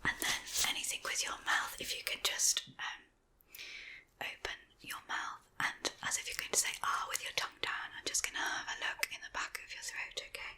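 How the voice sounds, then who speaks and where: Female ASMR artist, gentle reassuring whisper, very bright tone, plays a doctor examining the listener. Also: a little fast. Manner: soft, gentle and reassuring, speaking to the listener as a patient. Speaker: ASMR creator playing a doctor. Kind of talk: whispered ASMR medical exam roleplay.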